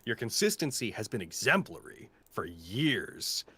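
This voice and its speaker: A man's voice: fairly low pitch, sing-song, measured pace, a bit bright, slightly rough, no echo. A man chatting casually and sincerely on a live Q&A, full of heartfelt praise. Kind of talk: casual, earnest chat and reading aloud.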